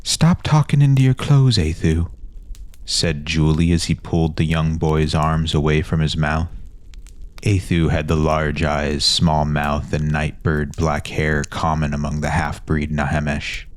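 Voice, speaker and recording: Very deep-voiced man narrating dark fantasy. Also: no echo. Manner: measured, descriptive, dramatic. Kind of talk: scripted fantasy audiobook narration.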